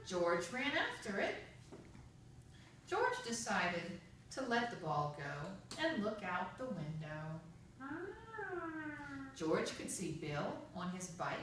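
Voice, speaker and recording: A woman's voice: medium pitch, a bit bright. A woman telling a children's story expressively, drawing out words and prompting young listeners with questions, recorded in an echoey, noisy room from a distance. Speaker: storyteller at a children's puppet show.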